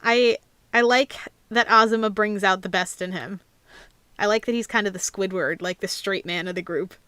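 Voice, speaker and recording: Young female streamer: casual, rambling Q&A chat, clean smooth voice, very dry sound. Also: mid-range voice, normal speed, fairly bright tone, dry recording. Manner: chatty, rambling. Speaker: host, amateur voice actor.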